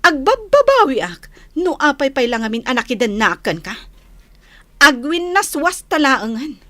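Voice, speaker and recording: A weary young mother, very high voice. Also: big pitch swings, normal speed, a bit bright, dry recording. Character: weary young mother leaving her husband. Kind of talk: radio drama acting.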